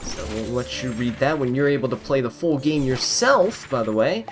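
A man's voice: mid-range voice, sing-song, normal speed, background noise. A man giving casual, upbeat commentary on a video game, reacting aloud as he plays. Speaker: gaming content creator. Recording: game music behind voice. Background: video game music.

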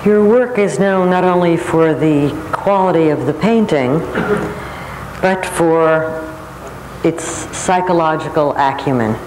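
A middle-aged woman interviewer putting formal, measured questions to a guest. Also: low voice. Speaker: television interviewer. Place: curtained stage before a small audience, indoors. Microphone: lapel or neck-hung microphone. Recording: echoey hall, noisy old videotape.

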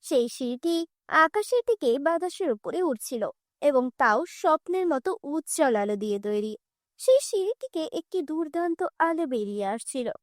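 A woman's voice: high voice, expressive, normal speed, dry recording, clean studio sound. A woman reading scripted narration for a children's cartoon story.

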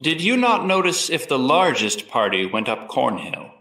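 A man's voice: medium pitch, sing-song, normal speed, bright, forceful tone. A man playing a formal, probing 18th-century lawyer examining witnesses in a scripted trial re-enactment. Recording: roomy echo, distant from mic.

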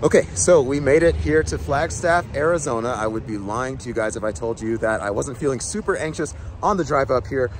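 A man's voice: medium pitch, animated, a little fast, hardly any pauses. A man in his thirties talking casually to viewers as a car vlogger, explaining things in a conversational way. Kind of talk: casual vlog narration to viewers. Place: inside a moving car, later outdoors at a charging station. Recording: noisy handheld recording with vehicle or street noise.

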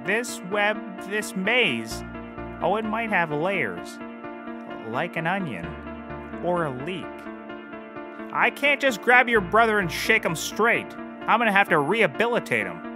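A man playing a cocky, bumbling cheap private detective in a scripted comedy, rambling with theatrical flair. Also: high voice. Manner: hammy, rambling, theatrical delivery. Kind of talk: scripted audio comedy, noir detective parody.

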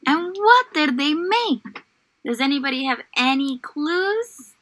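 Female teacher for young children's lessons; high, lively pitch, encouraging, unhurried, full of questions. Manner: encouraging, animated, prompting with questions. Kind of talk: children's online lesson with story read-aloud.

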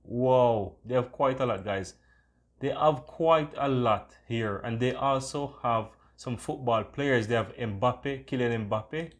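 A man's voice: medium pitch, slow. A man hosting a casual screen-recorded tutorial, talking in an enthusiastic, repetitive way. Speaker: tutorial host.

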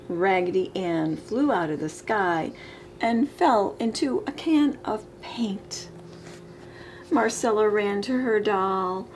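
A middle-aged woman reading a children's picture book aloud with expressive, emphasized storybook delivery. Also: medium pitch, rough recording. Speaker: storytime reader. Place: living room, indoors. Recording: clear background room noise.

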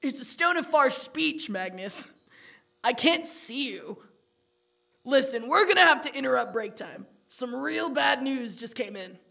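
A woman's voice: sits high, lively intonation, unhurried, creaky, sharp tone, full character voice. A woman voicing a brusque orc colleague in a comic dub, with a casual, direct way of relaying orders. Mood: urgent.

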